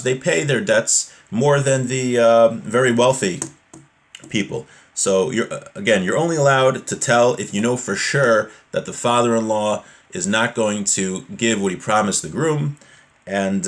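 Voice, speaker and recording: Man teaching religion, colloquial and explanatory. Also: fairly low pitch. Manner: colloquial, explanatory, with rhetorical questions. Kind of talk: reading Hebrew text and explaining it informally.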